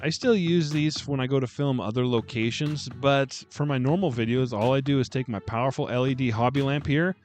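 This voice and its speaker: Deep-voiced chatty man, close dynamic mic. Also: a little fast, few pauses, faint music behind. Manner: chatty, self-deprecating, animated. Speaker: booktube vlogger. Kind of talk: casual tips vlog. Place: home room lined with bookshelves. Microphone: close dynamic microphone.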